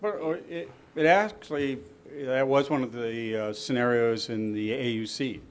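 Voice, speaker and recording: A man presenting at a medical panel, talking in a halting way with many fillers and restarts. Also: medium pitch.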